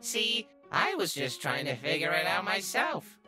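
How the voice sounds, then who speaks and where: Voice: mid-range voice, sing-song, measured pace, pushed and bright, soft background music, full character voice. A performer voicing a sly, obsessively curious little talking flower in a comic dub, brooding and easily exasperated. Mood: hesitant. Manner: brooding, scheming, bursting into exasperated outbursts. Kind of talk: comic dub character narration and dialogue.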